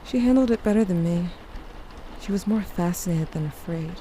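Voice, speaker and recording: A woman plays a guarded teenager narrating an audio drama over clear background noise. The voice is on the low side, introspective and wry, confessing grief and guilt as if to someone lost. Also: moderate pace, warm tone, lightly acted, rough recording. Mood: grieving, haunted. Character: guilt-haunted, guarded teenage narrator grieving a dying loved one.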